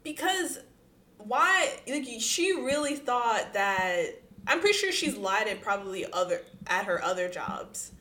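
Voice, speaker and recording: Woman co-host, blunt sassy commentary, higher voice, recorded in a roomy space. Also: very animated, unhurried, pushed and bright. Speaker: podcast co-host. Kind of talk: casual chat reacting to a post.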